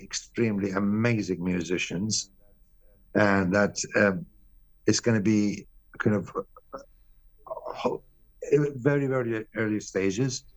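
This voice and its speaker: A middle-aged man from Iran, a painter being interviewed, talking candidly and bluntly, often pausing and restarting his sentences. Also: on the low side, no room sound, poor audio. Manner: candid, blunt, swears casually. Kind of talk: reflective interview answers.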